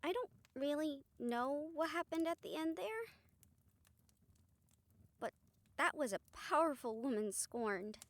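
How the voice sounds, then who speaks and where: A woman's voice: high voice, expressive, slow pace, long pauses, no room sound. A woman playing a wry, caring young woman friend in a fantasy audio roleplay, speaking casually and hesitantly, as if to one listener. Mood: wry, musing. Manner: casual, hesitant, chuckling, mildly sweary. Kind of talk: audio roleplay acting, intimate one-sided conversation with listener.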